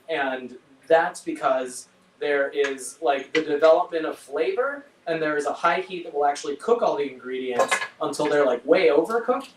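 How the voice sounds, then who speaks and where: Roomy, higher-pitched man teaching cooking casually. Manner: informal, thinking aloud. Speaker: chef leading a cook-along. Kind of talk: casual live cooking instruction. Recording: roomy, distant mic, faint noise.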